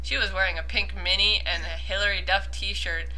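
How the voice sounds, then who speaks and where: A young woman with a very bright, forceful voice reads aloud in a casual, mocking way. She is giggly, swears freely and keeps slipping into chatty commentary at a middling pitch. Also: steady tone, moderate pace, low-quality recording. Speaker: host of a comedic commentary reading.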